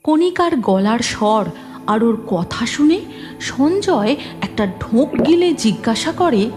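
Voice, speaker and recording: A woman's voice: fairly high pitch, lively intonation, takes it slowly, music in the background. A woman narrating a cartoon story from a script.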